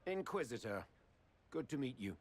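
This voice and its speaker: A man's voice: mid-range voice, sing-song, moderate pace, slightly rough. A man playing a courteous but firm noble envoy in a video game, formal and diplomatic with a stern edge.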